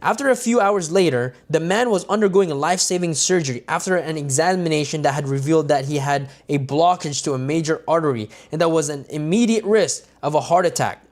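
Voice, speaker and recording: A man's voice: a little high, fairly quick, hardly any pauses. Man reading a book aloud on stream. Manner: unpolished reading with stumbles and restarts, casual asides to viewers. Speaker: livestreamer.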